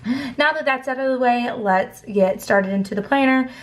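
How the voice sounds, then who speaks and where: Hoarse young woman vlogging a haul. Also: high voice, normal speed. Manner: chatty, describing items as she flips through them. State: hoarse from laryngitis. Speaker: planner vlogger. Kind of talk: casual product haul.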